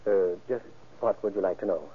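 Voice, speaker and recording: Careful polite businessman on old radio crime show, kinda husky n hesitant, tinny recording. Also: deep voice, normal speed. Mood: polite, guarded. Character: guarded middle-aged businessman, a widower being questioned. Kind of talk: old-time radio crime drama acting.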